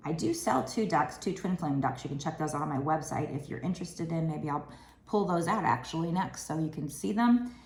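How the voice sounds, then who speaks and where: A woman with a low, gravelly voice talks her way through a tarot spread, rambling and full of fillers. Her throat sounds raspy and tired. The room around her is echoey, with a faint hiss behind. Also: animated, moderate pace, darkish tone. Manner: chatty, rambling, with many fillers. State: raspy from lack of sleep. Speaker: tarot reader. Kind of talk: casual tarot card reading. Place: room at a table indoors.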